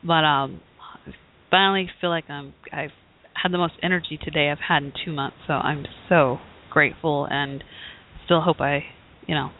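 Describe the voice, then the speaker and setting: A woman who hosts a talk show, hoarse from bronchitis, giving a rambling, casual update with many fillers and restarts. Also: deep voice, steady tone, moderate pace. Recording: tinny phone-like audio.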